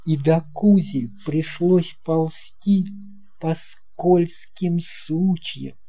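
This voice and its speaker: Very slow, soft-toned male storyteller. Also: fairly high pitch. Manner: unhurried, expressive storytelling. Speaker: audiobook narrator. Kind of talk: scripted narration of a children's tale.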